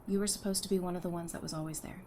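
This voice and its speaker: A woman's voice: lowish voice, fairly even intonation, racing, mellow, slight background noise, natural voice. A woman acting an affectionate, teasing young mother among survivors in an audio drama, speaking casually and sincerely. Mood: heartfelt.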